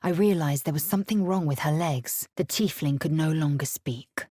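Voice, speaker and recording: A woman's voice: sits low, normal speed, mellow, husky, no echo, clean studio sound. An actress playing a proud, fierce alien warrior woman in a video game, with a clipped, formal and haughty delivery. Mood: grave, recounting. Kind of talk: video game character voice acting.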